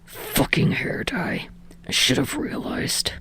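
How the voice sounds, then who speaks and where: A woman voicing a gruff, short-tempered young man with a husky growl and a scathing, sweary manner in a fiction reading. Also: slow pace, pushed and bright, whispered. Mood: bitter mutter.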